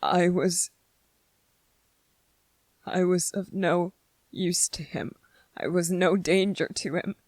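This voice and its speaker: A woman voicing an anxious, weary young male anime soldier in a halting ASMR roleplay monologue, pausing and repeating words. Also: fairly low pitch, steady tone, a little mellow, no room sound. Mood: wary, doubting.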